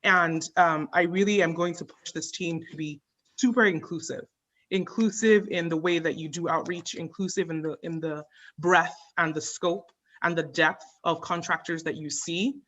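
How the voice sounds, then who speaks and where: Low-voiced woman speaking earnestly with frequent ums. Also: steady tone, normal speed, a bit bright. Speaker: advisory committee member. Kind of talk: public meeting remarks.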